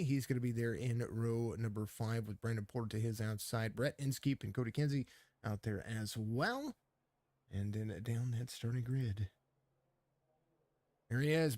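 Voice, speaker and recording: A man's voice: mid-range voice, a little mellow, no echo. A man with laryngitis commenting live on a sim racing stream, rambling through the grid and chatting with viewers, with lots of fillers and restarts. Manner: rambling, filler-heavy play-by-play, greets chat viewers. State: hoarse from laryngitis. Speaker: race commentator and stream host.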